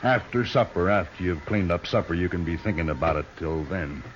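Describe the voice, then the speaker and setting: An actor playing a folksy, menacing outlaw gang leader in an old radio western, with a drawling, mockingly genial way of talking. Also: male voice, low-pitched, expressive, fairly quick. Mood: threatening. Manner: drawling rustic speech, mockingly genial, bullying. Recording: muffled, noisy old radio recording.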